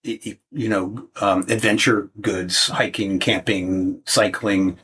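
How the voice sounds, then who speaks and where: A man's voice: on the low side, fairly even intonation, takes it slowly, pushed and bright, husky. A man of seventy from the United States, talking as a guest in a casual interview, hesitant and full of restarts. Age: 70s. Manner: hesitant, many restarts and fillers.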